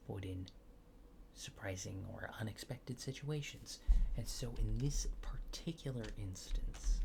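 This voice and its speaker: A soft-spoken man performing an ASMR roleplay as a mild-mannered official, asking questions in a halting, polite, low-key way and slipping toward a whisper, close to the microphone. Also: creaky. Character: mild-mannered alien official disguised as human, awkwardly reassuring. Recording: audible background noise with keyboard typing.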